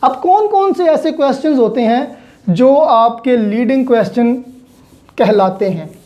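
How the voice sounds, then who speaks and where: A woman's voice: high-pitched, expressive, measured pace, dark tone, lo-fi sound. A woman with a Pakistani accent giving an explanatory law lecture, mixing in English terms and repeating points in a didactic way.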